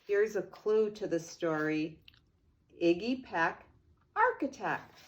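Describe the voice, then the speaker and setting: Slow, animated older woman reading a storybook. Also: mid-range voice, lo-fi sound. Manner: expressive, deliberate storytelling. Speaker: grandmother reading to children. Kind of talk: children's storybook read-aloud.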